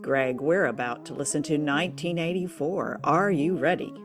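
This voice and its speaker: Very deep-voiced female host. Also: normal speed. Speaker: podcast host. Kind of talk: scripted show introduction. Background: instrumental music.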